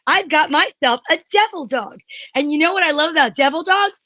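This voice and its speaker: Very high, bright-voiced woman doing an ad. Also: animated, brisk, no echo. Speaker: show performer doing a mock commercial. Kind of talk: playful, salesy ad read.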